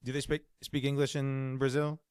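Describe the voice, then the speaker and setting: A man's voice: mid-range voice, flat delivery, normal speed, a little mellow, clear, resonant tone, no echo. Upbeat male podcast host, dry sound. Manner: upbeat, playful, curious questions. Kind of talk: casual interview chat.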